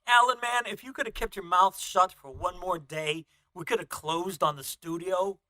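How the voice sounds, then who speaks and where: A man's voice: sits high, moderate pace, bright, forceful tone. A man playing a blunt, slangy, exasperated young band member in a motion comic.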